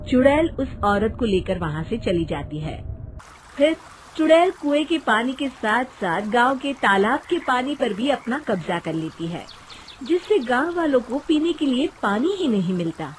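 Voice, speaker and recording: A woman's voice: a little high, big pitch swings, a little slow, a little hiss or hum. A woman narrating a scripted children's cartoon story.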